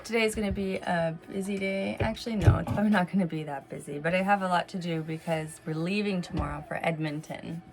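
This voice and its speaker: A woman's voice: on the low side, a little fast, few pauses. A woman chatting informally as a mom vlogger, thinking aloud in casual home-video style. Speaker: vlog host and mother of young kids. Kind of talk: casual home vlog chat, talking to viewers and kids. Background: music.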